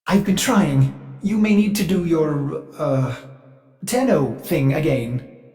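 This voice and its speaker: A man's voice: fairly high pitch, expressive, takes it slowly, warm tone. A man voicing a fussy, servile ship computer AI in a video game, speaking in a chirpy, formal and theatrical way with an undercurrent of violent glitches. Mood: frantic, apologetic. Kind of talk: video game character voice lines.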